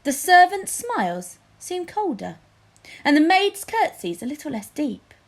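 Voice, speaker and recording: A woman's voice: sits high, big pitch swings, a little slow, creaky. A woman narrating a children's storybook expressively, as a teacher reads aloud.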